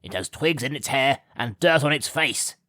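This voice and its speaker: A man's voice: mid-range voice, expressive, moderate pace, pushed and bright, dry recording, clean studio sound, voice pushed up. A man voicing a small, spiteful manservant who sneers and snarls. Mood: sneering. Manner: sneering, rude.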